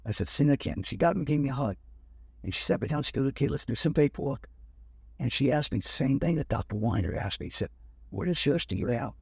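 Husky older man telling a story. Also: medium pitch, fast, dry recording. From United States. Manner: animated, acts out other people's lines. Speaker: guest. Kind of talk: storytelling in an interview.

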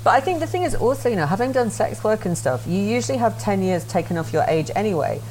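A woman's voice: mid-range voice, lively intonation, quick pace, darkish tone, a little hiss or hum, poor audio. A woman in her late thirties, chatting casually and frankly, swearing freely and restarting her sentences. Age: 30s. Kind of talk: casual chat.

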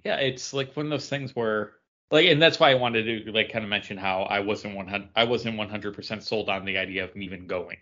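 A man's voice: lowish voice, fairly quick, pushed and bright, highs cut off. A man chatting casually as a podcast co-host, telling stories in a rambling, meandering way. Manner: rambling storyteller. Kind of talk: casual chat.

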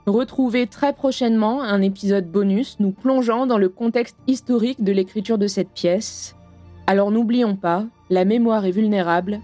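A woman reading scripted closing credits as an announcer, in a measured, formal way. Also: mid-range voice, dark tone. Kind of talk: scripted narration in French. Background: music.

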